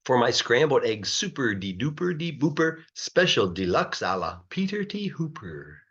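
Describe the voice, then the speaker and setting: Animated man reading a rhyming storybook. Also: mid-range voice, moderate pace, slightly rough, dull audio. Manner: expressive, sing-song rhythm, stretching words for emphasis. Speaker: English teacher reading a children's story aloud.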